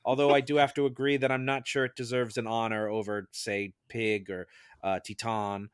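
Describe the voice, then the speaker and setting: A man's voice: medium pitch, little pitch movement, normal speed, clean tone, no echo, clean studio sound. A man hosting a podcast in casual chat, with a teasing, interjecting manner. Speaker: podcast host.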